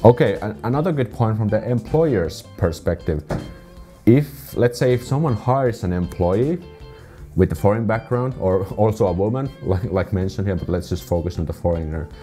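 A man's voice: on the low side, animated, moderate pace, mellow, soft background music. A man in his thirties with a Finnish accent, reading viewer comments aloud and reacting to them in a video, often restarting his sentences. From Finland. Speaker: vlogger. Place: small room indoors. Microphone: desk condenser mic.

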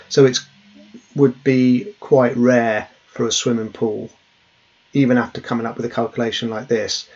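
A man in his thirties walks through a worked example at his desk, his husky voice staying level and unhurried. He fills pauses with ums and uhs as he explains. The audio is dull and lo-fi, as if from a webcam in a small office. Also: medium pitch. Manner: plain, steady explaining with frequent ums and uhs. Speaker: safety trainer.

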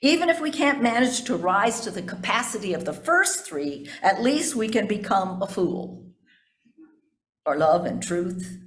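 An older woman pastor delivering a sermon from notes in deliberate, measured phrases, with asides to her congregation. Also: mid-range voice. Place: indoors in a church sanctuary at a pulpit. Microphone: gooseneck pulpit microphone. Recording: echoey church sanctuary.

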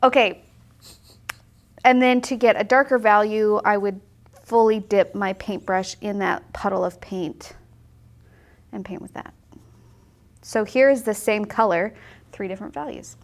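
A woman's voice: medium pitch, measured pace, long pauses. A woman in her thirties teaching a watercolor lesson in an indoor art studio, chatty and upbeat as she explains each step. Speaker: watercolor instructor. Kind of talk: casual on-camera painting tutorial.

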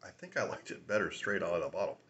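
Chatty raspy man, bright voice. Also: low voice, animated, quick pace, highs cut off. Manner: rambling, chatty, laughs often, many fillers. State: raspy from a sinus infection. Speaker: whiskey review host. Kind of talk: casual tasting vlog. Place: small room at home, indoors.

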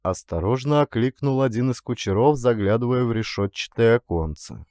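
A man narrating a literary story as an audiobook actor, with deliberate, dramatic phrasing and weighty pauses. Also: mid-range voice, mellow, dry recording, clean studio sound.

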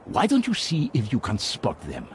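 Low-voiced, reassuring cartoon rabbit. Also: female voice, huge pitch swings, moderate pace, slight background noise, exaggerated voice.

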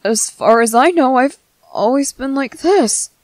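Woman voicing a gentle, high-pitched young merboy. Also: lively intonation, measured pace, dry recording. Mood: confused, hesitant. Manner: soft, hesitant, soothing. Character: gentle, shy, worried young merboy comforting someone. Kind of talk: ASMR roleplay acting.

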